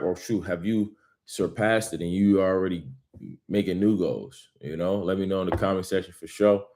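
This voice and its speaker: A man giving a casual motivational vlog monologue, rambling with emphatic bursts and self-help pep talk. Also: on the low side. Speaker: vlogger.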